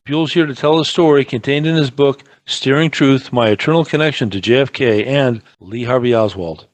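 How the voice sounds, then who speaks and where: A man announces a talk show from a prepared script. His voice is mid-pitched, and the sound is dry, with no room echo. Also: moderate pace. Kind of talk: scripted introduction narration.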